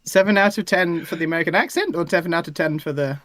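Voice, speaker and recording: A woman's voice: on the low side, quick pace, a bit bright, no room sound. Dry-recorded woman chatting casually. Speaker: writer. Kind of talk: casual chat on a live stream.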